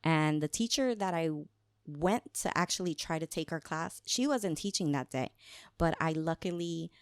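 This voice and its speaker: A woman's voice: low voice, lively intonation, moderate pace, clean tone, dry recording, studio quality. A woman, chatting casually as a podcast guest, often laughing and slipping in Spanish phrases. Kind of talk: casual conversation.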